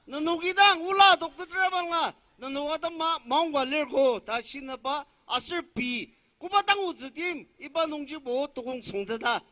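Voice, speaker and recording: A man's voice: very high voice, bright, forceful tone. A man interpreting a political speech for a crowd, declaiming it phrase by phrase. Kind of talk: loud consecutive interpreting of a speech.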